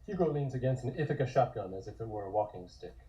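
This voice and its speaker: A man narrates a script at a table read. His voice is mellow and unforced, sitting at a middle pitch, as he reads out scene descriptions. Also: animated, fast, lo-fi sound.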